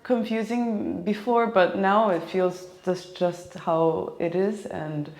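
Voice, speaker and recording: A young woman from Japan and Hawaii, talking casually and reflectively in an interview, pausing often as she thinks. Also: medium pitch, fairly even intonation, creaky, a little mellow. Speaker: classical cellist being interviewed. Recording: echoey, reverberant room.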